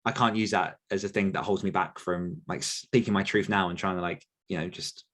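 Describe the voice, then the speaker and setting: A man's voice: low-pitched, fairly even intonation, a little fast, fairly bright tone. A man, answering listener questions on a podcast in a rambling, self-correcting way. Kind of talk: casual Q&A chat.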